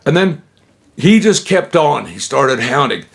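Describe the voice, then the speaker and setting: A man's voice: medium pitch, big pitch swings, a little slow, creaky. A man in his sixties from Utah, telling a personal story in a digressive, chuckling way, breaking off into asides and slang. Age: 60s. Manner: digressive, self-interrupting, chuckling. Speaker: vlogger.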